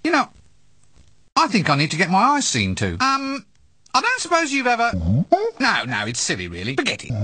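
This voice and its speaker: An actor playing a hapless, sardonic and cowardly assistant wizard in a video game, with an exasperated, whiny manner. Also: male voice, high voice, pushed and bright, slightly rough, no echo, exaggerated voice. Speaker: video game player character. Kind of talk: scripted comic game dialogue.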